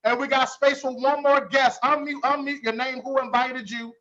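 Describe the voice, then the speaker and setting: A man's voice: very high voice, level tone, moderate pace, bright, forceful tone. A man hosting a recruitment conference call, hyping guests and repeatedly urging them to unmute. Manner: repetitive, rallying, pushing guests to unmute. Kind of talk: hype-driven group call hosting. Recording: dull lo-fi call audio.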